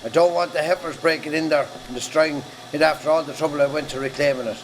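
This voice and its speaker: Man acting a gruff, scolding Irish farmer father. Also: on the high side, steady tone, quick pace, a little hiss or hum, lightly acted, low-quality recording. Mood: gruff, bossy. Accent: rural Irish. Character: domineering, disapproving Irish farmer father of grown children. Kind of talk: amateur radio-style stage drama acting.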